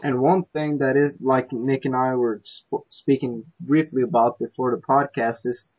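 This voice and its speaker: A man whose first language is Norwegian, hosting a podcast in casual, profane, slangy chat with halting restarts. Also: medium pitch, flat delivery, husky, lo-fi sound. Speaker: podcast host.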